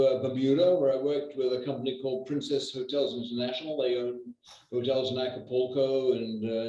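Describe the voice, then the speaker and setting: An older man from New Zealand, a real estate agent, talking in a measured way with lots of hesitations. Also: mid-range voice, dark tone. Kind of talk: conversational interview. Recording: echoey distant muffled video call.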